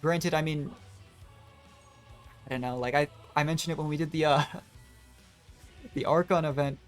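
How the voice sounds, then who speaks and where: A man's voice: a little high, steady tone, measured pace. A young man giving casual, rambling live commentary as a game streamer. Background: game music.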